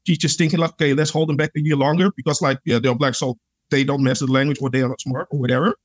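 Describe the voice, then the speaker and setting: A man's voice: medium pitch, little pitch movement, racing. A man, a podcast guest, telling his own experiences in an earnest, reflective way, with many "like" fillers. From Netherlands. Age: adult. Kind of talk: conversational interview storytelling.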